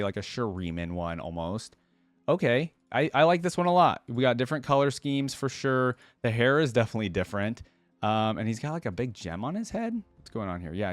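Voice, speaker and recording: Deeper-voiced man doing casual reaction commentary. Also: no echo. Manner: informal, thinking aloud. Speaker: reaction video host.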